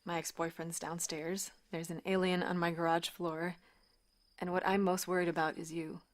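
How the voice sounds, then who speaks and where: A woman playing a warm, candid woman in a naturalistic audio drama, speaking in an open, earnest, conversational way. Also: on the low side, flat delivery, normal speed, close to an everyday voice. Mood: tender, concerned. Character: warm, candid woman caught up in a smuggling scheme.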